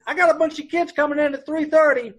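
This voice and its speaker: A very high-voiced dance hall boss, acted by a man in a radio police drama. He is brusque and chatty, with blunt, ungrammatical, folksy talk. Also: fairly even intonation, fast talker, a bit bright. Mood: brusque hospitality.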